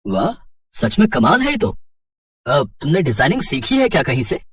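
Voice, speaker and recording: A man's voice: on the high side, wildly swooping pitch, normal speed, a bit bright, slightly rough, no room sound, studio quality, far from the mic, heavily acted. A man playing a courteous, appreciative factory owner in a story, confident and businesslike. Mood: impressed.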